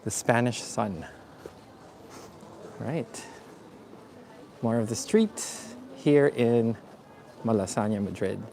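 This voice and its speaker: A man from the Philippines hosting a live walking tour outdoors, talking in a relaxed, chatty way with many fillers. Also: medium pitch, takes it slowly, gravelly, pauses often. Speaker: vlogger. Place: city streets outdoors. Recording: faint street noise outdoors. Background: street traffic and ambience.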